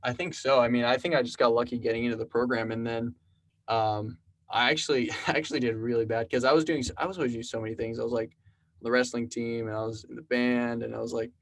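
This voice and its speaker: A man's voice: mid-range voice, quick pace. Twenties guy from South Texas, laid-back interview guest who rambles through stories. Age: 20s. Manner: relaxed, rambling storyteller with many fillers. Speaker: podcast guest, programmer and musician. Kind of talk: casual conversational interview.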